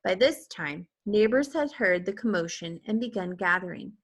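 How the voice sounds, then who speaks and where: A woman reading a children's story aloud with expressive narration. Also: medium pitch, moderate pace. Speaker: teacher or reader reading a children's book aloud.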